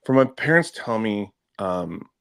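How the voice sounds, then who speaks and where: Gravelly low-voiced man in his 50s from Venezuela. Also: big pitch swings, a little slow, fairly bright tone, no echo, clean studio sound. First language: Spanish. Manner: rambling, full of fillers and restarts. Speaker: podcast co-host. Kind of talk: casual chat, telling his story.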